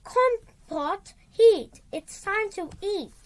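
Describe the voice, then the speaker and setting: A woman plays a hungry, ill-tempered warlock from a children's storybook. He barks threatening orders in a high voice that swings widely in pitch. His words come slowly and drawn out, full of bossy menace. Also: expressive, heavily acted. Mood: angry, commanding. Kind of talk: storybook character voice.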